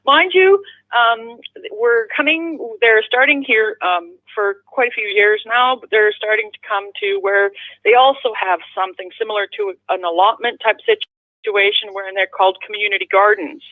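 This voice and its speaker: A woman's voice: mid-range voice, normal speed. A middle-aged or older woman calling in to chat casually, polite and rambling. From Canada, born in the Netherlands. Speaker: phone-in caller. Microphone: telephone. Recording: narrow tinny phone line.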